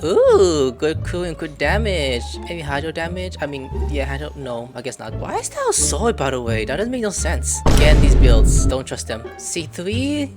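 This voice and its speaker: A woman's voice: deep voice, very animated, normal speed, few pauses, music in the background. A woman hosting a gaming video with casual, rambling commentary and exclamations, prone to swearing. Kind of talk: casual let's play commentary.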